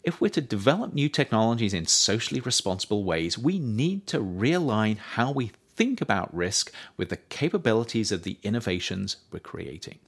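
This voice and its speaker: A man reading his own nonfiction book aloud as scripted audiobook narration, with measured, emphatic phrasing. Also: on the low side, very animated, slightly rough.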